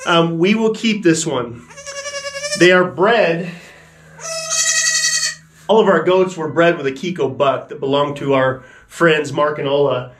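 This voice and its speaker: A man in his forties calmly explaining his goats to camera inside a wooden barn. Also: fairly high pitch, measured pace, long pauses, slight background noise. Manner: relaxed, chatty, matter-of-fact. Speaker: ranch owner and vlogger. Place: wooden barn goat pen.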